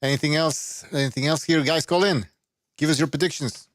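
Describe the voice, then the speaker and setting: A man's voice: a little high, fairly even intonation, brisk, no room sound. A man hosting a call-in boxing podcast, blunt and profane, urging listeners to call in. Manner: blunt, profane, reads donations aloud. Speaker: podcast host. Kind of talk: casual show hosting.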